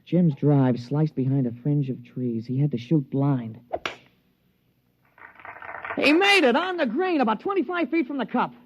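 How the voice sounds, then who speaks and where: An actor playing an excitable, loyal young American golf caddy who tells the story in a folksy, colloquial 1950s radio drama style. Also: male voice, a little high, unhurried, long pauses. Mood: suspenseful. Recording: muffled old radio recording, music underneath.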